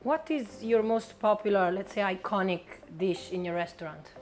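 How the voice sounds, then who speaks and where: A woman's voice: medium pitch, normal speed, a little mellow, soft background music. Woman asking short interview questions off camera. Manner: brief, direct questions. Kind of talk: reading prepared interview questions.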